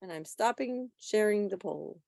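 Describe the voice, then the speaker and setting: A woman's voice: medium pitch, animated, moderate pace, gravelly, warm tone, no room sound, clean studio sound. A woman hosting a quiz on a video call, reading out questions and answers. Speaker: quiz presenter.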